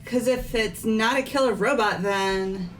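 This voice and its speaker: A woman's voice: on the high side, measured pace, faint music behind, natural voice. A woman voicing a brash, stubborn young student with a blunt, casual way of talking in a fan-dubbed game. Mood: argumentative. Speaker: amateur voice actor in a group playthrough. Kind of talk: fan dub of a visual novel game.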